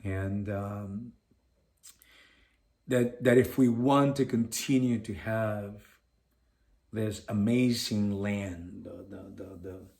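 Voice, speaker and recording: A man from Venezuela with a Venezuelan Spanish accent, co-hosting a community podcast in an earnest, halting way with many restarts. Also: lowish voice, fairly even intonation, vocal fry, a little mellow. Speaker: podcast co-host. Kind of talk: casual community podcast chat.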